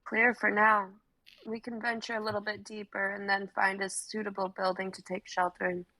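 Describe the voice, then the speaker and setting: A woman's voice: mid-range voice, little pitch movement, moderate pace, pushed and bright, no room sound, low-quality recording. A woman reading the part of a guarded female guide who speaks tersely and matter-of-factly. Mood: cautious.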